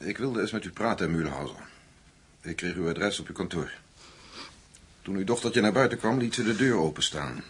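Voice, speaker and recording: An actor playing a composed, shrewd American CIA agent in a radio drama, measured, polite and probing. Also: male voice, deep voice, animated, breathy edge. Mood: calm, persuasive. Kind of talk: Dutch radio drama acting.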